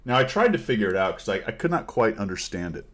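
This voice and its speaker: A man with a somewhat low voice hosts a relaxed gaming chat. He reads notes aloud with amused disbelief. His delivery is easygoing and conversational. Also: fast. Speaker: podcast host.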